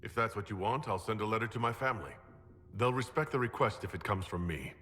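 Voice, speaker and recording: Casting: young noble mage leader for game, husky, sincere, measured, reverberant hall sound. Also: male voice, fairly low pitch, a bit bright. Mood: earnest, candid. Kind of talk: video game voice acting.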